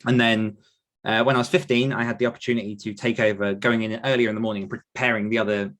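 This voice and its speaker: Man, British accent, lower pitch, casual anecdotes, chatty, thinks aloud. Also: steady tone, fast talker, a bit bright. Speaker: podcast guest and author. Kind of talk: casual personal anecdote in conversation.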